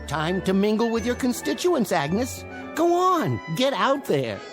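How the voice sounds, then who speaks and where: Bumbling, high-voiced guardian angel, swooping wildly. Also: male voice, huge pitch swings, a little slow, over music, heavily acted. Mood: jaunty. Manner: dithering, rambling, exclamatory. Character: bumbling, flustered male guardian angel, breezy and fussy. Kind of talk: comic fantasy radio drama acting.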